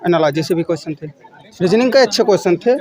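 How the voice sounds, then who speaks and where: A man's voice: high-pitched, lively intonation, quick pace. A young man answering interview questions casually, with hesitant starts and repeated phrases. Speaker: exam candidate being interviewed. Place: outdoors on a busy street. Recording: outdoor crowd and traffic noise.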